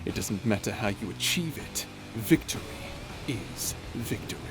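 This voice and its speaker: A man's voice: on the low side, lively intonation, normal speed, over music, close to an everyday voice. A man playing a stern, smug and menacing rival of a space pirate in an audio roleplay. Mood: smug, combative. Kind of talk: dramatic audio roleplay acting.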